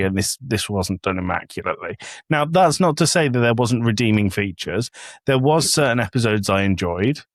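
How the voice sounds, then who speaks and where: Blunt man with a lowish, dry sound. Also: no echo. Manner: blunt, critical. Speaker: podcast panellist. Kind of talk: casual opinionated discussion.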